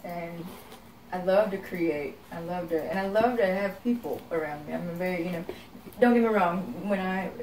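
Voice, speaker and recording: A young Texan woman with a lowish voice speaks in a relaxed, musing way and keeps circling back with "you know" as she thinks out loud. She sits back from the mic in a roomy space with a faint hum of ambience behind her. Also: normal speed. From Texas. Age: young adult. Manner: reflective, rambling with many "you know"s. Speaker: guest singer-songwriter. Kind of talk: casual interview chat. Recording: roomy, from a distance.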